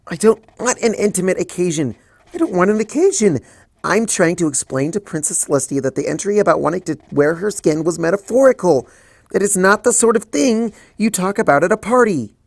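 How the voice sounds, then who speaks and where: Guy reading as a high-strung young unicorn girl, pitched way up, dry close sound. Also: expressive, vocal fry, no room sound, heavily acted. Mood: panicked. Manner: frantic, rushed, high-strung outbursts. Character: anxious flustered young female unicorn scholar. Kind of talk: fanfic audiobook reading.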